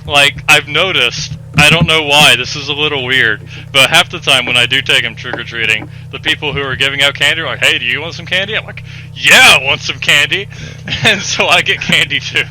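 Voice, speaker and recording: A man in his twenties chatting casually as a podcast host, animated and given to joking exaggeration. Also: on the high side, a little fast, slight background noise, rough recording. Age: 20s.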